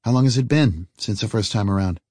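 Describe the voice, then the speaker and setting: Audiobook role: friendly older road veteran , read by a male narrator, lowish pitch, laconic, dry close sound. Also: fairly quick, a little mellow, dry recording, natural voice. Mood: easygoing.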